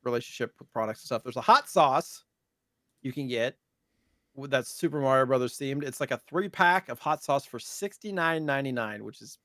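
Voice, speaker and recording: A man with a mid-pitched voice chats as a podcast co-host. His delivery is loose and rambling, recorded close and dry with no room sound. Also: expressive, normal speed, fairly bright tone, no room sound. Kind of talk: casual chat about retro gaming news.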